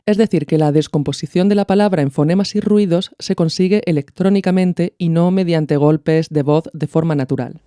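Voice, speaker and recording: A man's voice: on the high side, normal speed, hardly any pauses, dark tone, clear, resonant tone, dry recording, studio quality. A man from hosting a podcast, explaining in a didactic, narrated style. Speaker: podcast host. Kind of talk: explanatory narration of radio art.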